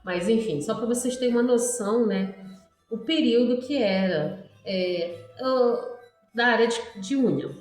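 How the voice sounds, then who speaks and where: A woman's voice: on the high side, unhurried, darkish tone, soft background music. A middle-aged Brazilian woman chatting casually and in a rambling way to her followers about herself, with frequent tag questions. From Brazil, born in Ceará, raised in Pará. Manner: rambling, frequent "né" tags, addresses viewers. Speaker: hairdresser making a video for followers. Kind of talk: casual chatty vlog monologue. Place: echoey space by a busy street. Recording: echoey, distant microphone.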